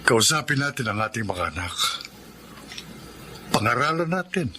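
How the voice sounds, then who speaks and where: Bright-voiced, earnest Filipino father. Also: mid-range voice, big pitch swings, normal speed, a little hiss or hum. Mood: sympathetic, solemn. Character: gentle, devout, concerned middle-aged father. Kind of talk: Filipino radio drama acting.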